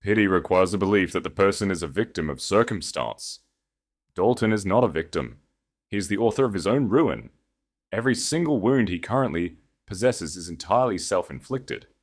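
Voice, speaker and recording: Resolute duchess for storytelling, played by a man: low register, animated intonation, solemn declarations, poised authority. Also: brisk. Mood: firm. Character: composed, resolute woman duchess, formerly timid.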